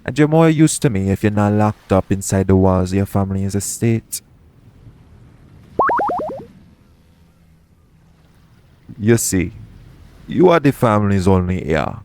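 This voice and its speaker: A man acting a shrewd, smug pirate captain in an audio roleplay, with a taunting, deliberate, persuasive way of talking. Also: fairly low pitch, animated, dark tone, clean tone. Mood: persuasive, pointed.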